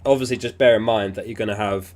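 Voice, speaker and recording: A man with a somewhat low voice hosts a live stream in a loose, chatty way. He sounds sniffly and keeps clearing his throat. He thinks aloud with easy fillers as he answers viewers. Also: steady tone, fast talker. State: sniffly, getting over an illness. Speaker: fantasy football livestream host. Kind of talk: casual livestream chat reading viewer messages.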